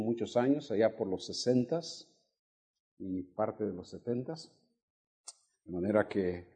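An older man from Honduras, his Honduran accent softened by decades abroad, teaches scripture to a congregation. His voice sits low and mellow, and he moves at an unhurried pace, stretching important words. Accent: Honduran Spanish, faded. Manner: measured, stretches key words, asks the congregation questions. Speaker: guest preacher. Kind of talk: Bible teaching sermon. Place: church sanctuary.

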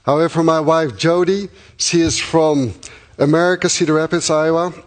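A man's voice: a little high, steady tone. Dutch-accented missionary man, earnest. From Netherlands. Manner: earnest and thankful, with pauses and fillers. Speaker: missionary church planter. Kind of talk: address to supporting congregation.

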